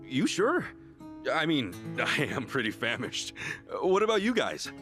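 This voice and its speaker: A man's voice: fairly high pitch, wildly swooping pitch, a little slow, over music. A man playing an earnest, boisterous, good-hearted hero in a video game, talking casually and expressively. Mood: friendly, eager. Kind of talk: animated game cutscene acting.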